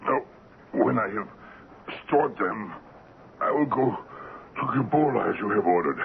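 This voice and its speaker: A low-voiced, shrewd African station hand in a 1970s radio play. He speaks slowly and deferentially to his boss. The sound is thin, narrow-band old radio. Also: animated, gravelly, a little hiss or hum. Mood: deferential, calm. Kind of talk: 1970s radio drama acting.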